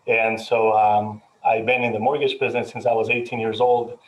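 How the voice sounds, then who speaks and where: A man's voice: lowish voice, level tone, normal speed. A man from Colombia introducing himself as a mortgage professional on a panel, friendly and dotted with uh fillers. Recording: dull lo-fi sound.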